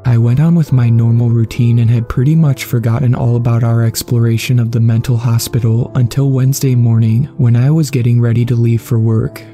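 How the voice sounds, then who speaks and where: A man reading a scripted first-person horror story as a narrator, in a measured, clearly enunciated way, with music behind him. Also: medium pitch, fairly even intonation, mellow. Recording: background music under the voice.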